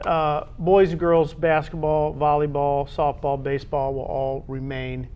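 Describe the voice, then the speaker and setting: Through slightly muffled audio with a faint rumble, an American man talks sports in a fairly high voice. He co-hosts a local show in a conversational way, pausing and doubling back on words as he goes. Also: monotone. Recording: dull lo-fi audio with low rumbling noise.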